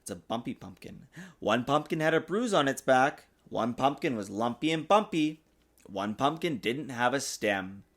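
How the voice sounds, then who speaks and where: A man reading a children's storybook aloud in an expressive, sing-song way, as a father does for his young child. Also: mid-range voice, moderate pace. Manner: patiently answers child's interruptions. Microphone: desktop USB microphone.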